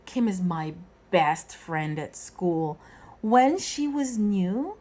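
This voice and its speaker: A woman reads a picture book aloud like a teacher to children. Her delivery is slow and deliberate, with a lively, swooping pitch and expressive phrasing that acts out the dialogue. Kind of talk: expressive storybook read-aloud.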